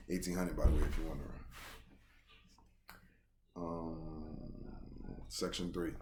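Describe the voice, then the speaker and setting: A man hosting a casual read-along, reading a story aloud and breaking off for animated, slangy reactions and commentary. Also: low-pitched, slight background noise. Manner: slangy, animated asides between narrated passages.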